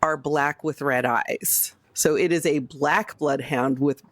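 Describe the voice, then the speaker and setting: A woman's voice: low-pitched, slow pace, no echo. A woman playing a tabletop game, chatting casually and describing her character's moves.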